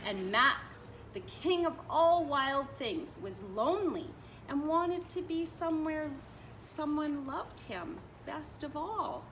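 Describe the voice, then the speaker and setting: A woman reading a picture book aloud like a teacher, expressive, stretching and stressing her phrases for children. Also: high-pitched. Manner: expressive, drawn-out phrasing with emphasis. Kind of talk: animated children's storytelling. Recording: distant mic with clear background noise.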